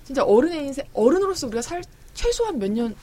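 A woman's voice: high voice, fast talker, a crowd in the background. A woman in her thirties, a guest author, talking in a casual, chatty way in an interview. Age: 30s. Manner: lively, chatty, laughs easily.

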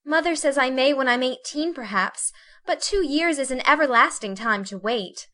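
A woman voicing a girlish, excitable teenage older sister in a storybook, fretful and prim, speaking in exclamations. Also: high voice, moderate pace, voice pushed up. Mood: wistful. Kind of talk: storybook character reading.

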